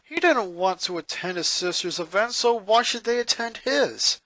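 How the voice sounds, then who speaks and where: Animated story narrator, dry close sound. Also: mid-range voice, moderate pace, no room sound. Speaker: narrator reading fan fiction aloud.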